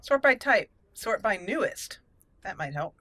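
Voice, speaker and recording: A woman's voice: mid-range voice, big pitch swings, a little slow, pushed and bright, no echo. A woman giving casual commentary on a fantasy video game and reading dialogue choices aloud in character. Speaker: let's play host.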